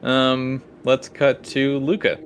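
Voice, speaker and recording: A man's voice: medium pitch, monotone, slow pace, slight background noise. A man improvising narration as the game master of a tabletop role-playing game.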